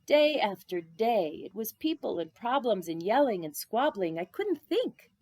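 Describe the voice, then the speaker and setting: Woman voicing an expressive, weary, devout rabbi. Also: on the high side, unhurried, pitched above the performer's own voice, exaggerated voice. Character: weary devout old-fashioned rabbi, kindly man.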